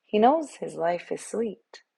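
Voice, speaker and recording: A woman storyteller reads a picture book for children at an unhurried pace. Her voice is husky with some creak, and she reads expressively. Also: mid-range voice, sing-song, mellow, no room sound. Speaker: read-aloud host, storyteller.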